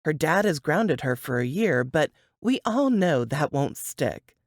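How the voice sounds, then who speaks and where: A female reader acts out a feisty, talkative woman in a novel. She scolds and chatters with very animated intonation, in a dry, close recording. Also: sits low, a little slow, no echo, exaggerated voice. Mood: breezy, chatty. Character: spirited, chatty, scolding young brunette at bedside.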